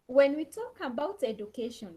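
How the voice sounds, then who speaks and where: A woman's voice: high voice, big pitch swings, moderate pace, warm tone, breathy edge. A woman lecturer teaching an online class over a laptop, repeating key phrases and questions to her students. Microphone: laptop on a video call.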